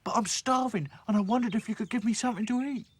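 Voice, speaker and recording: A man's voice: high-pitched, fast, husky, pitched above the performer's own voice. A man doing a sly, polite little mouse. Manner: polite, sweet-toned.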